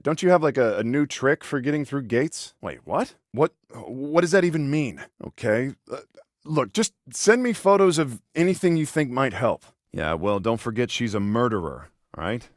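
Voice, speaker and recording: Casting: male actor for exasperated older-brother cop in a video game, sarcastic, by-the-book, protective, mid-pitched with lively swings, close dry studio sound. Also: no room sound, natural voice. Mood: wry, brotherly. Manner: sardonic, exasperated, conversational with hesitations. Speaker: police officer character in a video game. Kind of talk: video game voice acting, one side of phone conversations.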